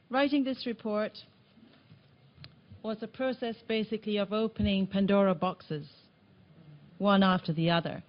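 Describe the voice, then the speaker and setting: A woman's voice: medium pitch, steady tone, muffled recording. Earnest woman presenting at a conference. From Tanzania. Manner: earnest, speaks with conviction and halting restarts. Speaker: report coordinator presenting. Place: meeting room.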